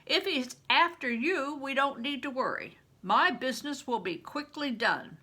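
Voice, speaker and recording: A woman voicing a big, confident grown man in a storybook, gruffly fond and commanding. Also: high voice, lively intonation, a little slow, pushed and bright, voice pushed up, exaggerated voice. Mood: dismissive.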